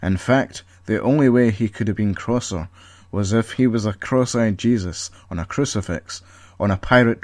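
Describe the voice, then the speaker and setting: A man's voice: on the low side, a little mellow, no room sound. A man reading a scripted story as narrator, with a wry, tongue-in-cheek manner. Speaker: author and narrator.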